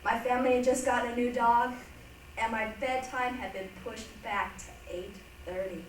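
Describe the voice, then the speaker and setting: A woman's voice: a little high, fairly even intonation, moderate pace, lightly acted. A woman performing a reader's theatre monologue as a reflective, earnest woman looking back on her childhood. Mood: reminiscing, earnest. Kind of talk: staged dramatic monologue reading. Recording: distant, noisy, echoey room recording.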